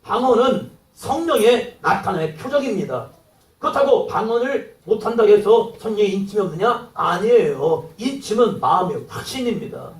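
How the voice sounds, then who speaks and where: Male pastor, sermon delivery, high and animated, plays out dialogue, distant mic in a reverberant hall. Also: moderate pace. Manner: acts out quoted dialogue, rising to exclamations.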